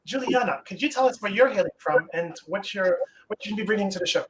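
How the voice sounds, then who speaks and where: A man hosting an online panel, introducing guests with a welcoming, slightly halting manner. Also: high-pitched, lively intonation. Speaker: host. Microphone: video call. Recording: noisy online call.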